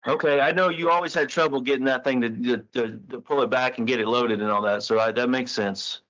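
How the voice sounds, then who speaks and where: A man in a private phone conversation, questioning in a halting, coaxing way, often repeating and rephrasing himself. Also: medium pitch, steady tone, fairly bright tone. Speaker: caller questioning the other speaker. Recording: recorded phone call. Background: recorded phone call.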